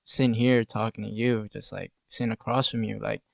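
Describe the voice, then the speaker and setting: A podcast interviewer talks casually in a creaky voice, recorded close and dry. The delivery is informal and thoughtful, with halting phrasing as questions take shape. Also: mid-range voice, steady tone, no echo.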